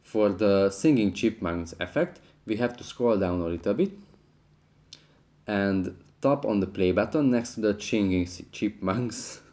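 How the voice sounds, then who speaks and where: A man's voice: on the low side, expressive, measured pace, a little mellow. A man hosting a how-to video, explaining an app step by step. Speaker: tutorial video host. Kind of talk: spoken how-to app tutorial.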